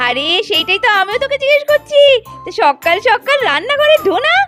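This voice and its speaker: Woman voicing a very high-pitched busy village mother. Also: very animated, a little fast, a bit bright, exaggerated voice. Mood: chatty, surprised. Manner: exclamatory, chatty. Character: cheerful busy village mother doll, fussing and scolding. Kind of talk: Bengali children's doll-play story voices. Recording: music bed under the voice.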